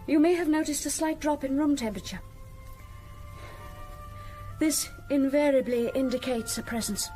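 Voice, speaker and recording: An actress playing a composed woman spirit medium in a British radio drama, giving formal, measured instructions. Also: high voice, mellow, soft background music, lightly acted, poor audio. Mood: calm, commanding.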